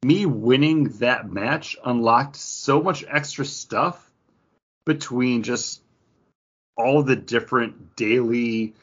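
A man co-hosting a podcast in casual chat, rambling and animated, acting out tapping through game menus. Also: mid-range voice, takes it slowly, highs cut off. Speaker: podcast co-host.

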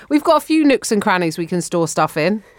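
A woman's voice: medium pitch, big pitch swings, moderate pace, dry recording. A woman guest co-hosting a comedy podcast, riffing bawdily in rambling, stammering bursts with frequent restarts. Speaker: guest co-host. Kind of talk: improvised comedy banter. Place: studio in New York.

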